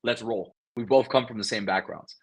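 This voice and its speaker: A man whose first language is Spanish, speaking in a casual interview as a guest, energetic and talkative, often repeating words as he restarts. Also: medium pitch, normal speed, a little hiss or hum. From South Florida. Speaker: guest, real estate investor.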